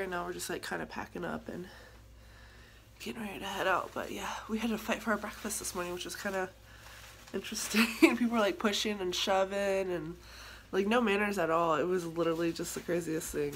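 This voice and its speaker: A young woman in her twenties, a trainee truck driver, chatting casually and upbeat to a phone held at arm's length. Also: mid-range voice. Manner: chatty, upbeat, laughs often. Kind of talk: casual vlog talk to camera. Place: outdoors at a truck terminal, traffic noise. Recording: loud outdoor vehicle noise.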